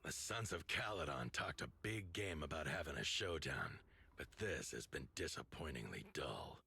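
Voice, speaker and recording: An actor voicing a proud, old-fashioned biker gang boss in a video game, gravelly, blunt and commanding. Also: male voice, very deep voice, animated, moderate pace, pushed and bright, full character voice. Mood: dismissive. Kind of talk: dramatic video game voice acting.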